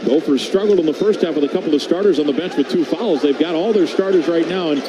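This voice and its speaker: Man, live basketball radio commentary, slightly high voice, clear arena noise behind. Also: quick pace. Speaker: play-by-play radio announcer. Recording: noisy broadcast with arena ambience.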